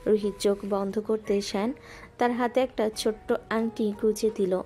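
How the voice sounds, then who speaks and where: A woman gently narrating a scripted romantic audio story. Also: mid-range voice, fairly even intonation, moderate pace. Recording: music bed underneath.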